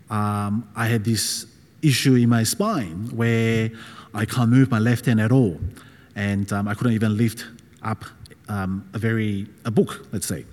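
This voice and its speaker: Man, middle-aged, non-native English from Taiwan, informal preaching, hesitant delivery, slightly low pitch for conversation, long hall reverb. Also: darkish tone. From Taiwan, moved to Australia as a child. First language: not English. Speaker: guest preacher. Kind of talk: informal personal sermon. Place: large reverberant room with a gathering.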